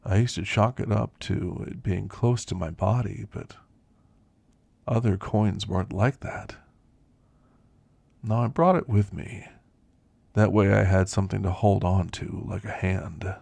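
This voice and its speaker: A man playing an elderly, ailing werewolf in an ASMR roleplay, gentle and reflective, reminiscing in a soft, deliberate, gravelly voice. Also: fairly low pitch, steady tone, no room sound. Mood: wistful, tender. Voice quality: gravelly, husky.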